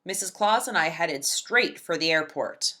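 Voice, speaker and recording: A woman reading a children's picture book aloud in an expressive, emphatic storytelling manner. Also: lowish voice, a little slow.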